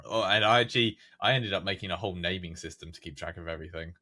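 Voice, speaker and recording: A man's voice: low voice, quick pace, sharp tone. A man of nineteen, a podcast guest who creates game maps, chatting casually and rambling with many fillers and restarts. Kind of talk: casual chat.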